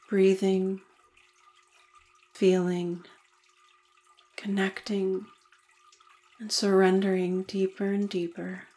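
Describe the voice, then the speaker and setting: A woman leading a guided meditation in a soothing, deliberate manner with gentle pauses. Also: mid-range voice.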